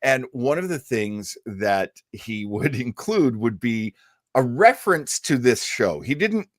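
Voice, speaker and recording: A man's voice: mid-range voice, sing-song, takes it slowly, no room sound, studio quality. A man hosting a show, chatting casually and introducing old radio programs with jokey asides. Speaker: host.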